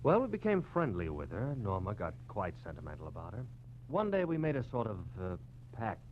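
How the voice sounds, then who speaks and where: A man's voice: fairly low pitch, wildly swooping pitch, brisk. A man playing a polite, evasive former lover in an old radio crime drama, earnest but hesitant and guarded. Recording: dull, noisy vintage radio recording with hum.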